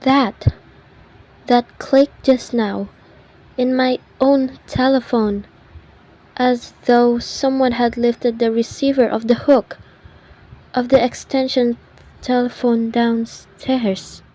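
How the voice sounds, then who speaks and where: A woman's voice: on the high side, flat delivery, slow pace, poor audio. A woman acting a demanding, terrified lady in an old-style radio thriller, imperious and breathlessly pleading. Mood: alarmed. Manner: imperious, breathless pleading, hushed when afraid. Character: frantic, demanding, terrified wealthy housebound woman.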